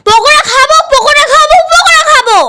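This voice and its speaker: Whiny young cartoon child: extremely high, sharp voice, stubborn and insistent. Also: female voice, fast talker, a little hiss or hum, full character voice. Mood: defiant tantrum. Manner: whining, insistent. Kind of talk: Bengali cartoon voice acting.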